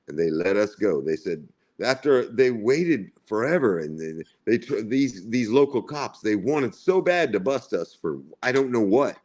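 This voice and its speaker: A man's voice: on the low side, very animated, a little slow, hardly any pauses, a little mellow. A man in his forties, chatting casually on a livestream and rambling and repeating himself. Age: 40s. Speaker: livestream co-host.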